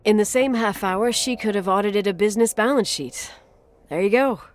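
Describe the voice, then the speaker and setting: A woman's voice: medium pitch, moderate pace, lightly acted. A woman playing a wry, guarded character in a game, speaking in a hesitant, dryly sarcastic, naturalistic conversational way. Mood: reflective, wry. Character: wry, guarded adult woman recalling her childhood.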